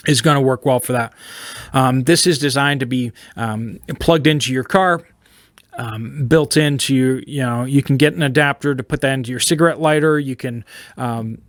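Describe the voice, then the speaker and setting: An American man giving an informal explainer as a video host, in halting phrases with emphatic check-ins. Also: medium pitch, fairly bright tone, no echo, clean studio sound. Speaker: host of a preparedness video channel. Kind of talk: informal explainer, product walkthrough.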